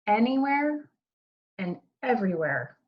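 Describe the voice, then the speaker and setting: A woman's voice: fairly high pitch, very animated, unhurried, dull audio. A woman voicing a loving, reassuring mother in a children's storybook. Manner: soothing, reassuring. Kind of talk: children's storybook read-aloud.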